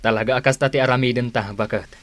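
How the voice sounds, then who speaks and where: A man's voice: mid-range voice, fairly even intonation, quick pace, fairly bright tone, no echo, lightly acted. A man playing a stubborn, greedy, domineering older brother in a radio drama, with an Ilocano accent. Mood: scheming.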